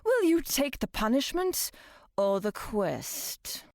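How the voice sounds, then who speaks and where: A woman's voice: sits high, very animated, slow, a little mellow, dry recording, full character voice. A stern angelic judge in a fantasy audio drama, voiced by a woman. She speaks formally and with impatient authority, in a dry, close recording. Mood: stern, solemn. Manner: formal, commanding, pronouncing sentence.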